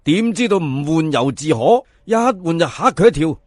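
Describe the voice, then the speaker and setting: A male Cantonese storyteller narrates colloquially to his listeners. His voice sits a little high and moves up and down a great deal, with theatrical emphasis. The recording is close and dry. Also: animated, normal speed, darkish tone, no room sound. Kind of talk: colloquial folk-tale narration.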